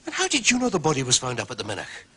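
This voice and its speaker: An actor playing a stern, suspicious police sergeant in a British radio drama, questioning formally and insistently. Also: male voice, mid-range voice, wildly swooping pitch, rapid-fire, background noise.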